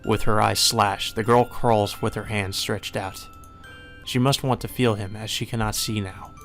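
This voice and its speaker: A man reading a visual novel aloud as dramatic, solemn and measured narration. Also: fairly low pitch, steady tone, a bit bright. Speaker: narrator, let's play reader. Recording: music behind the voice.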